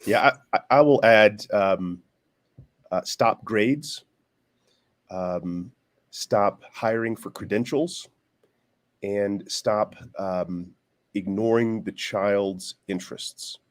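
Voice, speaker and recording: Low-voiced man speaking slowly. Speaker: panel guest. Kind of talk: casual online discussion. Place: online video call.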